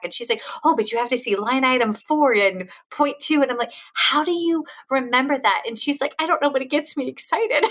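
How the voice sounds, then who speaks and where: A woman hosting an interview podcast in a casual, enthusiastic way, laughing often and swinging her pitch widely. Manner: lively, with big pitch jumps. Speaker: podcast host.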